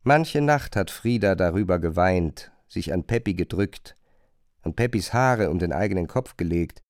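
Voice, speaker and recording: A man narrating a literary radio play, retelling a chambermaid's grievances in an expressive, emphatic way. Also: low-pitched, moderate pace, no room sound, clean studio sound. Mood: engaged, aggrieved.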